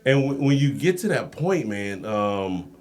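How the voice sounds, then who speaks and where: A man's voice: fairly low pitch, a little slow. An American man talking casually as a podcast guest, telling anecdotes and acting out the dialogue in them. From United States. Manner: animated storyteller, acts out quoted dialogue. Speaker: podcast guest, endurance coach and Marine. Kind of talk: casual, anecdotal interview talk.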